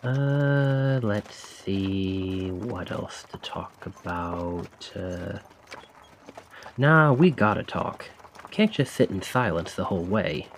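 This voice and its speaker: Man playing a slow-talking, cheeky carriage driver. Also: deep voice, lively intonation, a little hiss or hum, heavily acted. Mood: chatty, teasing. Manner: casual, rambling, feigned awkwardness, insinuating. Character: chatty, cheeky stand-in carriage driver, slyly probing. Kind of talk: ASMR roleplay acting, addressing the listener.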